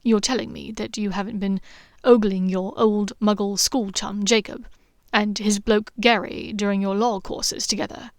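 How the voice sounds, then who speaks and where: A woman voicing a playful, affectionate young man who teases in a low, intimate tone. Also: steady tone, normal speed, no room sound, clean studio sound, lightly acted. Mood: teasing. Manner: teasing, low and intimate, sometimes half-whispered.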